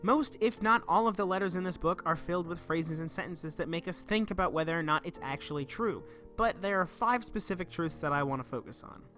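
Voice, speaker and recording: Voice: mid-range voice, a little fast, few pauses, fairly bright tone. A young adult giving an earnest, reflective scripted narration for a video essay. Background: background music.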